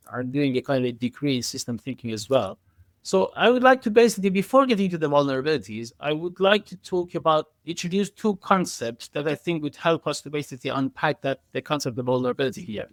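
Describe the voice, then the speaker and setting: A man's voice: a little high, lively intonation, fairly quick, no echo, clean studio sound. A man speaking as an expert podcast guest, explaining technical ideas in a hesitant, circling way with frequent self-corrections.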